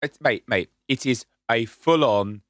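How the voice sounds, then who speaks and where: Lively, enthusiastic man co-hosting a podcast. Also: medium pitch, unhurried, dry recording, studio quality. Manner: enthusiastic, self-mocking storyteller. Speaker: podcast co-host. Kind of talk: casual chat.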